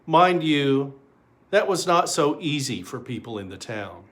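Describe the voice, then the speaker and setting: A man reading a children's story aloud in an expressive way, with deliberate pauses. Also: mid-range voice.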